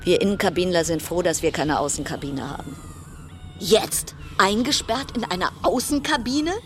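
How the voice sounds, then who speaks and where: A woman playing a wry, chatty cruise passenger travelling alone, musing with dramatic pauses in a radio play monologue. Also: on the low side, slight background noise, natural voice.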